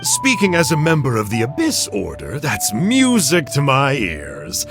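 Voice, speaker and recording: A man's voice: medium pitch, huge pitch swings, measured pace, fairly bright tone, soft background music, heavily acted. A man playing a cheerful, breezy male spy from a villainous order, disarming and talkative. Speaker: captured villain.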